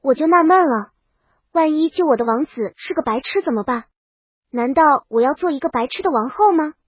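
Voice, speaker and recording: A woman's voice: sits high, lively intonation, brisk, dry recording, muffled recording. A woman voicing a brash, boastful young princess in an audiobook, bold and casual. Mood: frank, puzzled. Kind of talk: audiobook character dialogue.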